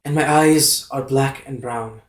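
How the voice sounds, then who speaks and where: A woman's voice: extremely low pitch, measured pace. A woman playing a tabletop role-playing game, improvising casually with dry, grumbling banter in a roomy echo.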